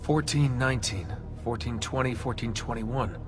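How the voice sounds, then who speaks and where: A man's voice: medium pitch, moderate pace, over music, lightly acted. An actor playing an earnest young man puzzling out clues in a video game. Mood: curious, intent. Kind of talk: video game dialogue acting.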